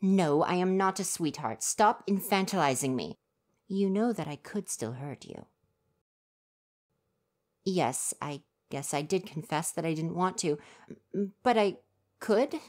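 A woman's voice: on the low side, lively intonation, measured pace, pauses often, darkish tone. A woman voicing a young male would-be ninja in an ASMR roleplay, defensive and flustered but earnest underneath. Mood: flustered, huffy.

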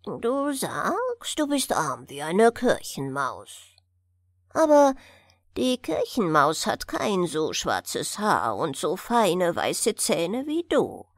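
A sly little old gnome of a doctor from a storybook, acted out by a woman. The voice swings widely up and down and moves slowly, with a friendly, bargaining manner. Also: on the low side, wildly swooping pitch, no room sound, studio quality, voice pushed down, exaggerated voice. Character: tiny wrinkled old male fairy-tale doctor, sly, friendly. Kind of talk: storybook character voice.

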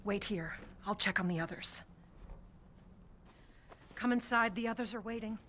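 A woman's voice: medium pitch, normal speed, bright, forceful tone, a little hiss or hum, lightly acted. An actress playing a devout village matriarch who commands her household firmly. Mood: commanding. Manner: commanding, then shaken and stammering. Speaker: game character.

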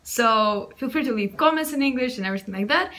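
Bright-voiced young woman from Russia. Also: high voice, expressive, racing. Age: young adult. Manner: chatty, explains with hand gestures. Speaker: channel host. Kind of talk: casual chat. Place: small indoor room at home.

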